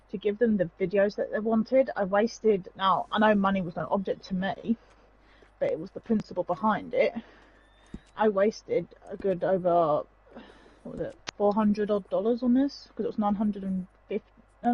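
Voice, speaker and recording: Husky high woman, aggrieved and muffled. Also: a little mellow. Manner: aggrieved, detailed complaining. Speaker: stream guest. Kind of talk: casual conversation.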